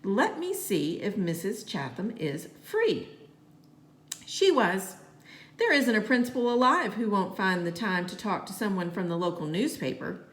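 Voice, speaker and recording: A woman reading a children's novel aloud as a narrator, with expressive, deliberate phrasing. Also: mid-range voice. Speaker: storybook reader.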